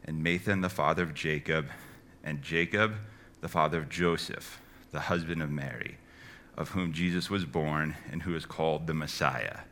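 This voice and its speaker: Very deep, bright-voiced man reading scripture. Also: slight background noise. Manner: measured, phrase-by-phrase recitation. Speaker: scripture reader.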